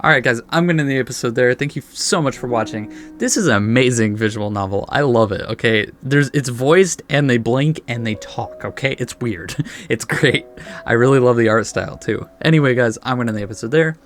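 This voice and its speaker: A man's voice: medium pitch, a little fast, faint music behind. A man reading game text aloud as a let's play host, adding laid-back asides.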